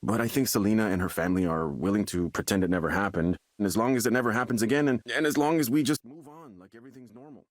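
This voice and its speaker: An actor as an anguished young man in a video game, low in pitch and recorded very dry. He speaks in agitated, halting bursts, repeating himself, desperate to be normal. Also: no room sound, lightly acted. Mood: resigned, pleading.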